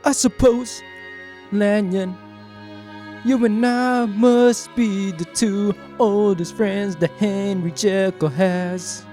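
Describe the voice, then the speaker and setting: A woman voicing a grave older male lawyer in a dramatized story, speaking in a deliberate, drawn-out way. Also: medium pitch, fairly even intonation, mellow, faint music behind, close to an everyday voice. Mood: measured, formal. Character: grave, measured older male lawyer, probing an old friend. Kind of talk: dramatized audiobook reading.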